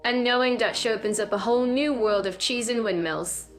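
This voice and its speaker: A woman's voice: on the high side, moderate pace, faint music behind. A woman co-hosting a scripted podcast, chatting in an upbeat way with bubbly little interjections. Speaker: podcast co-host.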